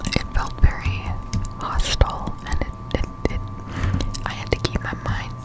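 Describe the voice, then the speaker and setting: A woman's voice: measured pace, over loud music, rough recording. A young woman whispering breathily up close to the mic, giving rambling ASMR gameplay commentary as she muses to herself. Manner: rambling, musing aloud to herself. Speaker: gameplay streamer. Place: indoors at a home computer. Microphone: close-up desktop condenser mic.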